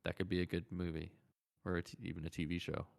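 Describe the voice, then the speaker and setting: Male podcast co-host, lowish voice, informal, emphatic, repeats himself to drive points home. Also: little pitch movement, fast talker, gravelly. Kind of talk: casual chat about games and shows.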